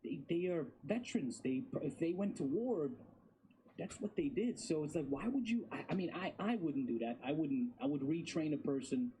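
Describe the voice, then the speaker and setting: A man's voice: fairly high pitch, expressive, normal speed, mellow, slight background noise, low-quality recording. A man in his thirties from Bosnia and Herzegovina, a guest talking casually and emphatically, repeating his words. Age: 30s. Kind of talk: casual interview talk.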